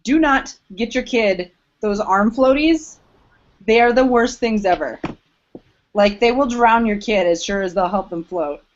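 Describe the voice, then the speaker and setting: A woman's voice: on the high side, measured pace. A woman co-hosting a podcast in informal, self-deprecating casual chat. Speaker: podcast co-host. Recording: muffled lo-fi podcast recording.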